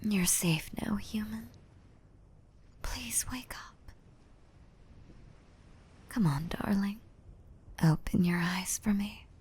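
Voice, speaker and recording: A woman voicing a gentle, nurturing mermaid in a roleplay ASMR audio drama, soothing and doting with a breathy, whispery delivery. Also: mid-range voice, slow, pauses often. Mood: urgent, worried. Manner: soothing, doting, uses pet names. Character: gentle, nurturing mermaid rescuing a drowning human.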